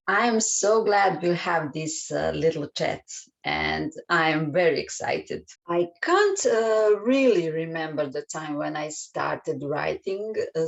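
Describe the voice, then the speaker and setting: A woman with a Serbian accent, a children's book author answering interview questions in a hesitant way, pausing often with filler sounds. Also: deep voice, vocal fry, dull audio.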